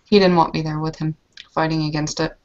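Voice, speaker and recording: A woman's voice: low voice, flat delivery, a little fast, darkish tone, muffled recording. A woman in an in-character tabletop roleplay, speaking tersely and bitterly.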